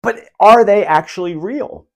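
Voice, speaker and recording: A man's voice: high voice, a little slow, gravelly, slight background noise. A man hosting his own channel, delivering dramatic prophecy commentary in an emphatic, theatrical way full of rhetorical questions. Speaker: channel host, self-described spiritual medium.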